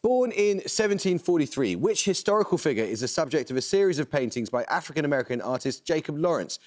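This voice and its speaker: A man hosting a television quiz show, reading questions and introductions in a crisp, measured way. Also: on the high side. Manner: crisp, measured, lively intonation. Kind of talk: scripted quiz presenting. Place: television studio.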